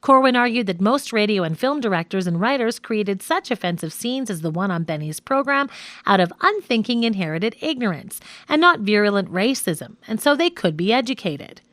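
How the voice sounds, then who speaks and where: A woman reading a scripted nonfiction audiobook as its narrator, in an articulate, measured manner. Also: medium pitch, lively intonation, fairly bright tone, dry recording.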